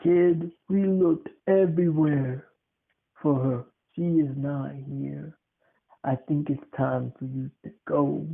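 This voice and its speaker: A storyteller voicing an older, very tall homeowner who talks bluntly with a slow drawl in a spooky tale. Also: medium pitch, fairly even intonation, creaky. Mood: firm. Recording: tinny phone-like audio.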